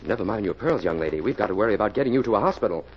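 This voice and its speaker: An actor with an American accent playing a decisive, earnest scientist crime fighter in a 1940s radio drama. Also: male voice, fairly low pitch, lively intonation, very fast, lightly acted. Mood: grave. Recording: muffled, noisy old radio recording.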